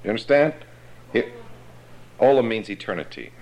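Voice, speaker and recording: A man teaching a Bible class in a sermon style, explaining slowly and checking that his listeners understand. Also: fairly low pitch, lively intonation, a little mellow, poor audio.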